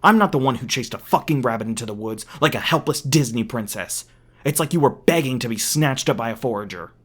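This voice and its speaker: A man's voice: medium pitch, lively intonation, a little fast, slightly rough. A man playing an edgy, hot-tempered monster hunter in an audio roleplay, sweary, defensive and sardonic. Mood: exasperated. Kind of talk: ASMR audio roleplay acting.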